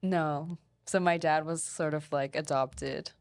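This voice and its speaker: A woman's voice: low voice, fairly even intonation, slow. A young adult woman speaking as a guest in a casual, conversational debate.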